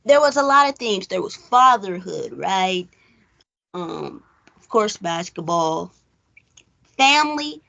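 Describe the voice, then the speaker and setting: A woman's voice: mid-range voice, expressive, slow, creaky, pauses often, bright, forceful tone. A woman co-hosting a movie recap show, chatting casually and rambling as she retells and acts out bits of dialogue.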